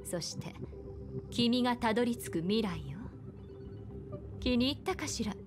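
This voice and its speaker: A woman voicing a calm, enigmatic, seductive woman in an anime game, speaking slowly and soothingly with gentle command. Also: on the high side. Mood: gentle, foretelling. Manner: slow, deliberate, soothing. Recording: background music.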